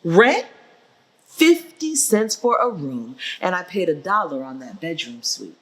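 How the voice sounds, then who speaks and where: Woman as husky, feisty old grandmother. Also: on the low side, sing-song, unhurried, fairly bright tone, full character voice. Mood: proud, matter-of-fact. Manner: emphatic, plainspoken. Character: feisty, independent elderly Southern grandmother, blunt and wry. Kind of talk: dramatic staged reading of oral history.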